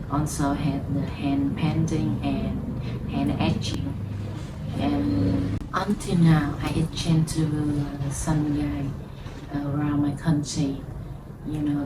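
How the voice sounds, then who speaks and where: A low-pitched woman from Vietnam talks very slowly in halting second-language English, filling her pauses with uh and um. She is vlogging casually from home. The recording is roomy and distant, with steady traffic noise behind her. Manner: halting non-native English, many fillers. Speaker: lighter collector vlogger. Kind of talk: casual chat to camera.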